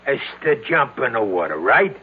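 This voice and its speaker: An actor playing a brusque, dismissive husband in an old-time radio drama. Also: male voice, medium pitch, big pitch swings, normal speed, husky. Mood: brusque, sly. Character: brusque, dismissive husband who bosses his wife. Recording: tinny phone-like vintage radio.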